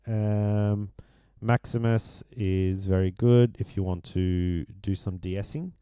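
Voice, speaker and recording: A man with a deep voice that is going hoarse, casually walking through his vocal mix with many ums and restarts. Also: fairly even intonation, slow, dark tone, no echo, clean studio sound. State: losing his voice. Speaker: music producer explaining his mix. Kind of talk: casual screen-share tutorial.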